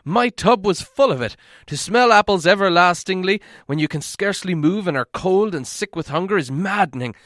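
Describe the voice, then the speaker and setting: A man voicing a fed-up young dwarf in a storybook reading, complaining loudly and plaintively. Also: sits high, lively intonation, normal speed, hardly any pauses, no echo, studio quality, pitched above the performer's own voice.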